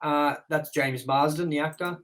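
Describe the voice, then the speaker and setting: A man's voice: on the high side, steady tone, normal speed, fairly bright tone. A man co-hosting a casual movie review chat, reading out figures and firing questions at his partner with fillers and restarts. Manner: reads figures, then prompts partner with questions. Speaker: co-host.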